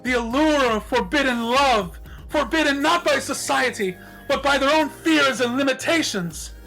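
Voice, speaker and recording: A man's voice: extremely high pitch, normal speed, gravelly, pushed and bright, soft background music, voice pushed up, full character voice, low-quality recording. A man voicing a melodramatic, romantic, refined female unicorn in a theatrical, swooning manner.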